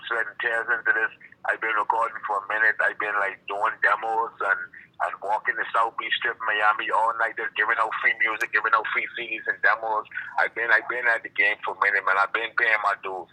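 Fast-talking Bahamian man on a phone line. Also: on the low side, flat delivery, bright, forceful tone. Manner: laid-back, repeats "you know what I'm saying". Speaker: rapper guest. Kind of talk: phone interview. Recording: phone call over music.

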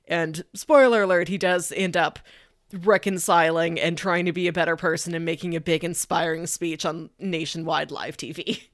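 A woman co-hosting a podcast, pitching movies in a casual, enthusiastic and emphatic way with chatty asides. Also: fairly even intonation, moderate pace, no echo, studio quality. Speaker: podcast co-host.

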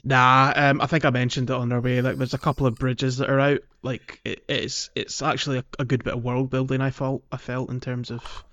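A man's voice: mid-range voice, little pitch movement, fairly quick, few pauses, fairly bright tone. A man co-hosting a gaming podcast, chatting casually in a rambling, jokey way. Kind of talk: casual chat about a video game.

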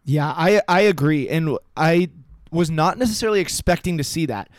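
A man hosting a football podcast, talking in a measured, analytical way. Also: a little high, no echo, clean studio sound. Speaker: podcast host. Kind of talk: casual sports chat.

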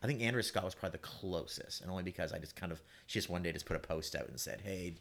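Fast-talking man with a low voice. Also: gravelly, fairly bright tone. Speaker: playwright guest. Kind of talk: casual panel discussion.

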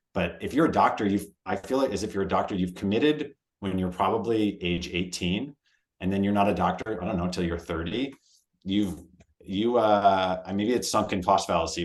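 A man co-hosting a casual podcast, talking in an animated way as he thinks aloud. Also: low voice. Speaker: podcast co-host. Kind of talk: casual conversation. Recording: roomy echo.

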